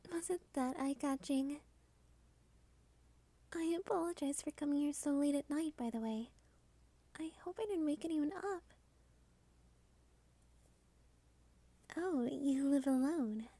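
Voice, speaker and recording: A woman voicing a cute, dominant female vampire in an ASMR roleplay, soft-spoken, polite and teasing with quiet possessiveness. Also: sits high, normal speed, long pauses, no room sound. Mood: polite, coy.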